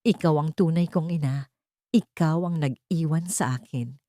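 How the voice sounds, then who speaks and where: A woman voicing a cold, low-toned ghost girl. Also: moderate pace, warm tone, no echo, clean studio sound, lightly acted. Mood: cold, accusing. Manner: cold, accusing, insistent. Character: cold, resentful ghostly little girl in a mirror.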